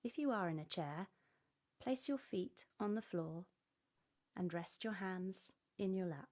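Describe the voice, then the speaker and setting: A woman hosting a guided relaxation for children, giving gentle, measured instructions step by step. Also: fairly low pitch, expressive. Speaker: host of a children's relaxation program.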